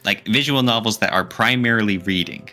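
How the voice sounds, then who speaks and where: Low voiced guy on a podcast, sharp bright tone, opinionated and emphatic, music in the back. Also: a little fast. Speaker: podcast co-host. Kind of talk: casual podcast discussion of video games.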